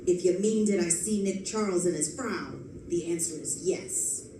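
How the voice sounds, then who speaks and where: An actor playing a slow-witted, doubting gangster's henchman in an old-time radio drama, with blunt, slangy talk. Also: high-pitched, close to an everyday voice. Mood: defensive. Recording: echoey live stage, distant mic, faint noise.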